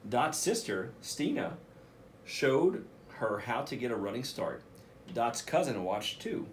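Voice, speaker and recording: A man, a school principal, reading a picture book aloud for children in an expressive way, with casual asides. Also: mid-range voice, slow pace, slight background noise. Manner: expressive, addresses children directly.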